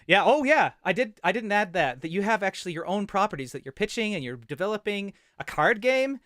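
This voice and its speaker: A man with a slightly high voice and a dry, close sound hosts a casual livecast. He speaks with enthusiasm and readily affirms what his guests say. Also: big pitch swings, a little fast, fairly bright tone, no echo. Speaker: host and interviewer. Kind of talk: casual livecast interview chat.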